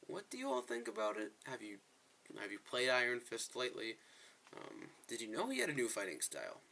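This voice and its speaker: Bright, animated male gaming commentator. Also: medium pitch, fairly quick, gravelly, a little hiss or hum. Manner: rambling, self-correcting, chatty asides to viewers. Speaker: gaming video host. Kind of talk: casual gameplay commentary.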